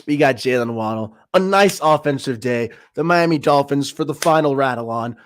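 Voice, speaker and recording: A man's voice: mid-range voice, moderate pace, slight background noise. A man in his thirties from Peru, hosting a live-stream sports talk show with hyped, trash-talking banter. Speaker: podcast host.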